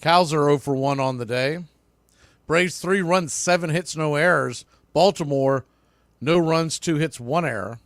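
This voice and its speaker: A man's voice: a little high, unhurried, no echo, studio quality. A man doing live baseball play-by-play in clipped short phrases, with casual asides.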